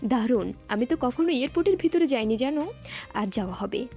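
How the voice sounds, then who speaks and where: A friendly, curious young hostel girl acted by a woman. Her voice sits a little high and she chats and questions eagerly, with light music behind the audio-story dialogue. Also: expressive, brisk, warm tone. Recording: music bed under the voice.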